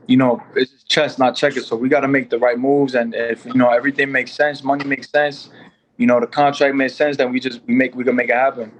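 A young man from New York chatting as a guest on a boxing podcast. He rambles with plenty of "you know" and false starts, at a mid-range pitch. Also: rough recording. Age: 20s. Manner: loose and rambling, many fillers and restarts. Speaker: boxer, guest. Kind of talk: casual interview chat.

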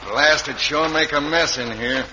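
A man's voice: on the high side, steady tone, moderate pace, natural voice. An actor playing a villain's callous henchman with an American accent in an old radio western. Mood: conniving. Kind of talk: 1950s radio western acting. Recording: dull, noisy old radio recording.

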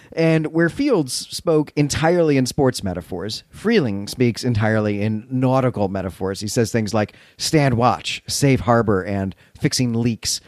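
Podcast guy walking thru a book, chatty n engaged, normal pitch, tight dry recording. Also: a little mellow, dry recording. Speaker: podcast co-host. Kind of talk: conversational literary recap and discussion.

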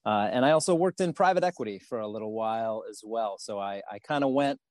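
A man speaking as a podcast guest about his career and fundraising, pausing often to restart his sentences. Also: on the low side, darkish tone, dry recording, studio quality. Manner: halting, many fillers and restarts. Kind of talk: podcast interview.

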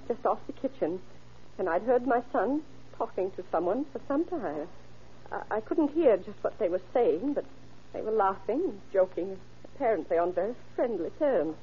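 A woman's voice: mid-range voice, slightly rough. An actress playing a hesitant, genteel older mother who is shaken, in 1930s radio drama style. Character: polite, shaken elderly mother of a shooting victim. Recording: muffled, noisy old radio recording.

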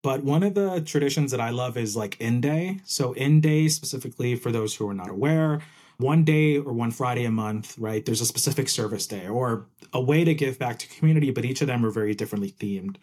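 A man's voice: mid-range voice, a little fast, a little mellow. A young man from Puerto Rico, raised in New Jersey, chatting eagerly as an interview guest and often tagging "right?" onto his points. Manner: enthusiastic, often ends with "right?". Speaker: interview guest, program manager. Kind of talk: casual interview chat.

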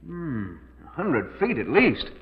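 A dull, reverberant vintage radio recording carries a scheming, cold-blooded male killer. His fairly high voice swings theatrically, urgent and full of menace. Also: big pitch swings, slow, crowd noise, full character voice. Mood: feigned casualness. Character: scheming, cold-blooded murderous man plotting with his lover. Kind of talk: 1940s radio thriller acting.

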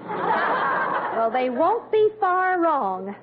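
A woman's voice: very high voice, big pitch swings, extremely slow, full character voice. A woman playing an exasperated, worried wife and mother who scolds anxiously, in 1940s radio comedy style. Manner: scolding, emotional. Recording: noisy, narrow vintage radio recording, audience murmur.

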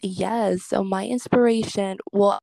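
A woman's voice: medium pitch, fairly even intonation, a little slow, vocal fry, darkish tone, dry recording. Chatty woman with a dry, close sound. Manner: chatty, loose, with many fillers and restarts. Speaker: guest singer and social media creator. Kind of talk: casual interview answers.

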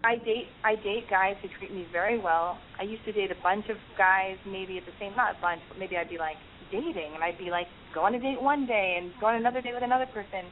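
Chatty podcast host woman, phone-thin sound. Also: mid-range voice. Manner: talkative, quick restarts, frequent "like". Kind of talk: casual call-in chat and storytelling. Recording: phone-like narrow band with faint hiss.

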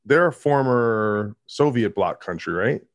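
A man's voice: fairly low pitch, animated, measured pace. A man hosting a casual interview, asking curious questions with many restarts. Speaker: podcast host.

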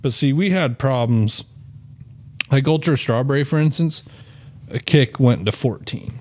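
A man's voice: mid-range voice, measured pace, a little mellow. A man co-hosting a casual podcast chat, joking around and laughing often. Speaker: podcast co-host.

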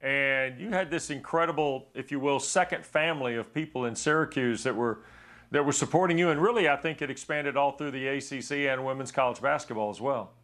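A male sports broadcaster with a mid-range voice who stumbles over his opening words before settling into a long question. He sounds steady once he gets going. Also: medium pitch. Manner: halting starts, repeats words. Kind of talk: interview question.

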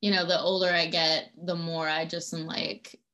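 A woman in her thirties with a bright, forward voice and a slightly low pitch. She chats casually as a podcast guest, warmly enthusiastic and laughing between phrases. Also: level tone, moderate pace. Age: 30s. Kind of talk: casual chat.

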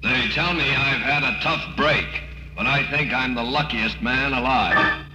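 An actor plays a dying ballplayer, a humble man declaiming his thanks to a stadium. His voice echoes in this radio dramatization, under loud music. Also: mid-range voice, unhurried, close to an everyday voice. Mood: humbly grateful. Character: dying ballplayer addressing a stadium crowd, grateful.

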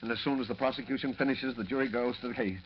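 An actor playing a fretful, gray-haired older newspaper editor who stammers and blusters in 1940s radio drama style. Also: male voice, medium pitch, fairly even intonation, very fast, lightly acted. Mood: anxious. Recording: dull vintage radio recording, background noise.

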